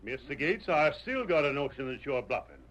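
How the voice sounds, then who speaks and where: A man's voice: mid-range voice, very animated, brisk, natural voice. A wily, skinny western card player, acted by a man, folksy and full of mock innocence. His male voice leaps up and down, on a noisy vintage radio recording. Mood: cagey, folksy. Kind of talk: old-time radio western acting.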